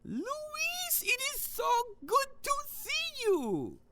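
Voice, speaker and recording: A man's voice: very high voice, huge pitch swings, very slow, noisy background, full character voice. An actor playing a fussy, sentimental man in a theatrical, effusive way, in a comic audio drama. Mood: smitten. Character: fussy, sentimental man from the old country, Hans.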